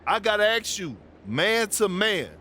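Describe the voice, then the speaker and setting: A man's voice: high-pitched, sing-song, unhurried, bright, forceful tone, heavily acted. An actor playing an incredulous, confrontational male sports talk-show panelist in a video game, speaking emphatically and repeating himself for effect. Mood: provocative. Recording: clear background noise.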